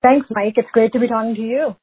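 A woman from Pakistan, a researcher answering interview questions in a measured way, often hedging with "sort of" and "if you will". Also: fairly high pitch, steady tone, husky. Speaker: researcher and guest. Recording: phone-like remote line.

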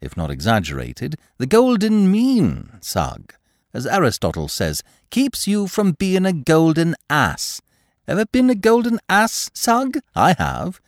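A flippant, cheerful young aristocrat, as a male reader voices him. He talks in a breezy, teasing, sing-song way, and his pitch swoops widely from phrase to phrase. The recording is dry and close. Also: wildly swooping pitch, moderate pace, dry recording, full character voice. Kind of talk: audiobook reading.

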